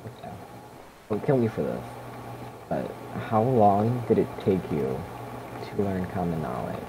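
A man's voice: low voice, very animated, measured pace. A young man chatting casually with a friend during a game, teasing and rambling. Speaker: co-player in gaming video. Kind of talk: casual chat while gaming. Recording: noisy voice chat recording. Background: clear background noise.

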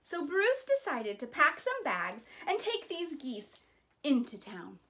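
A woman in her thirties reading a children's picture book aloud in an animated, deliberate way with dramatic emphasis. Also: sits high. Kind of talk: expressive children's story reading.

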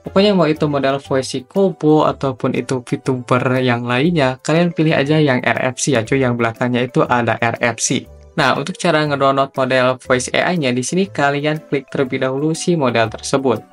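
Casual young man, medium pitch. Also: a little fast. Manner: chatty, slangy, step-by-step instructing. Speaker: tutorial host. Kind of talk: casual tutorial voice-over. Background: background music.

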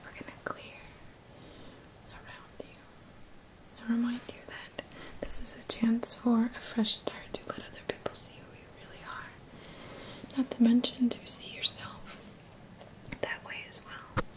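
A woman in her thirties whispers gentle, soothing affirmations as an ASMR energy healer, in a soft, breathy voice close to the mic. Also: a little high, monotone, low-quality recording. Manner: gentle, slow, deliberate phrasing with pauses. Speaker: ASMR energy healing practitioner. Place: small dim indoor room. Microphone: close mic, seated near the camera.

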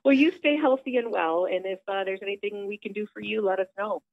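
A woman's voice: fast talker, vocal fry, dry recording. Chatty woman on a tinny phone line. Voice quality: low voice for a woman. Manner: chatty, many fillers, upbeat. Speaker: theater director calling in. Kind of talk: casual phone interview. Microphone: telephone.

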